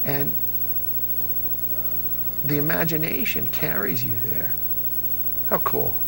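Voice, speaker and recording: A man's voice: fairly high pitch, animated, slow, creaky, music in the background, low-quality recording. A man hosting a casual interview, telling stories with enthusiasm and frequent restarts. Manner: enthusiastic storyteller, restarts often. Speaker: interview host. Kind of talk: casual nostalgic interview chat.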